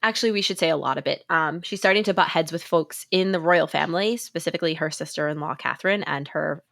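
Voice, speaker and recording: A woman's voice: sits low, fast talker, gravelly, a bit bright, no echo, studio quality. A woman in her thirties co-hosting a casual podcast, chatty and laughing often, a little congested from a cold. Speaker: podcast co-host.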